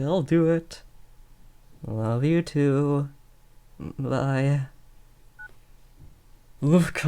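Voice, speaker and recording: A man's voice: fairly high pitch, darkish tone. A young man acting an awkward, earnest teenage boy who is trying to be a supervillain, hesitant and often correcting himself, in a comedic audio roleplay. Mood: sheepish.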